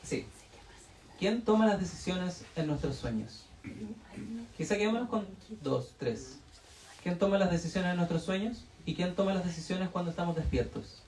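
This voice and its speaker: A man's voice: high-pitched, moderate pace. Hesitant man reading questions to a crowd. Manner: relaxed, hesitant, lots of fillers. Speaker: event moderator. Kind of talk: reading discussion questions aloud. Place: bookshop event room. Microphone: distant room mic. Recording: echoey room, voice far from mic, faint noise.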